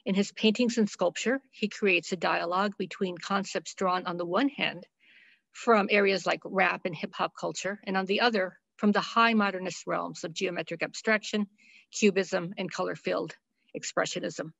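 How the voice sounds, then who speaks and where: A woman curator moderating a video call panel, reading an artist's introduction in a measured way. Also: lowish voice, steady tone, vocal fry, fairly bright tone, dry recording.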